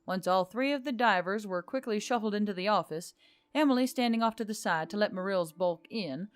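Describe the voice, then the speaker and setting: A woman's voice: medium pitch, brisk, clear, resonant tone. A woman reading a scripted story aloud as an audiobook narrator.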